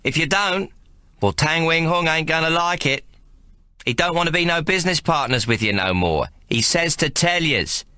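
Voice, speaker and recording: A man voicing a blunt young woman collecting a debt, speaking in rough, slangy street talk. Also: deep voice, expressive, moderate pace, bright, forceful tone, no room sound, clean studio sound, pitched above the performer's own voice. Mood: insistent.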